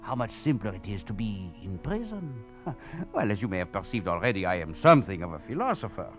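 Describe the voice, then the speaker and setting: A man's voice: lowish voice, big pitch swings, fairly quick, a bit bright. An actor playing a wry, cunning male escaped prisoner who narrates his tale with chuckles and theatrical flair, in a 1940s radio drama. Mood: wry, chuckling. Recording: dull old radio recording, faint music.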